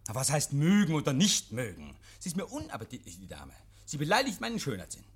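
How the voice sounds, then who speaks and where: An actor in a 1950s German radio play, playing a nervous, evasive private scholar who talks in a fussy, pedantic, over-polite and agitated way. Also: male voice, fairly high pitch, wildly swooping pitch, fast talker, slightly rough, exaggerated voice. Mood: testy, scornful. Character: nervous, evasive male private scholar hosting policemen, defensive and testy. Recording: vintage 1951 radio drama recording.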